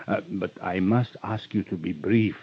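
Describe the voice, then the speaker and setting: An actor playing a suave, ruthless criminal mastermind with courtly, flowery politeness, flattering and menacing in a golden-age radio drama. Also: male voice, sits low, normal speed, vocal fry, husky, full character voice. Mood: suave, mocking. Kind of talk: 1950s radio drama acting. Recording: dull vintage radio recording.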